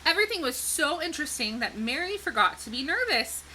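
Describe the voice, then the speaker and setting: A woman's voice: high voice, very animated, a little fast, sharp tone, a little hiss or hum, poor audio. A woman reading a children's book aloud expressively, with dramatic emphasis and a teacherly way of explaining. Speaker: storybook reader.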